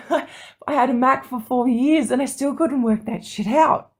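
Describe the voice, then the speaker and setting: A woman's voice: sits high, a little slow. A woman co-hosting a podcast, talking casually and candidly with the odd swear word. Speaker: podcast co-host. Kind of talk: casual chat.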